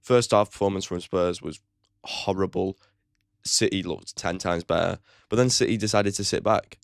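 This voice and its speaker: A man's voice: sits low, moderate pace, gravelly, dry recording, clean studio sound. A man hosting his own football fan channel, giving a blunt, opinionated match preview with casual swearing. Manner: chatty, with frequent "like" fillers.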